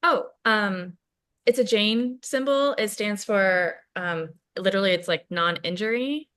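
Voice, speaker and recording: A woman playing a chatty, self-deprecating, awkward college student in a casual, naturalistic play reading, halting and rambling as she goes. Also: medium pitch, fairly bright tone, smooth, lightly acted. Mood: embarrassed, chatty. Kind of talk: table read of a play, naturalistic casual acting.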